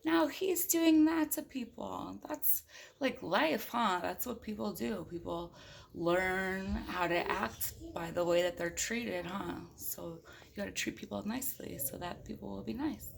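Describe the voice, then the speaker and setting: Creaky-voiced woman reading to little kids. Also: medium pitch, a little slow, slight background noise. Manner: playful, chatty, talking to small children. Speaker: storybook reader. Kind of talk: animated read-aloud of a picture book with casual asides to young listeners.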